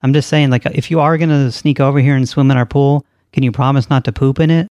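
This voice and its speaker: A man hosting a prank call show in a casual, deadpan and insistent way. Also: medium pitch, fast talker, dry recording. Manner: casual, insistent, straight-faced.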